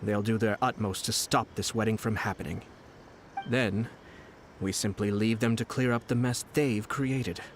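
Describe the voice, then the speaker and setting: An actor playing a calm, scheming young noble strategist in a video game, laying out plans in a smooth, measured, persuasive way. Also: male voice, lowish voice, slight background noise, natural voice. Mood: calculating. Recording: game audio with music behind.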